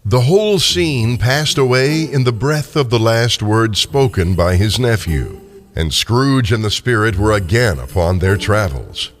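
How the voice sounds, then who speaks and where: An older man narrating a story dramatically, with theatrical, deliberate phrasing. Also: fairly low pitch, animated. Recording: long reverberant tail on voice.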